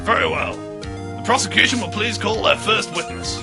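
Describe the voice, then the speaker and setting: Man acting a pompous, creaky-voiced judge. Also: high voice, moderate pace, music in the background. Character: pompous courtroom judge, solemn and easily swayed. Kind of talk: comedy sketch acting.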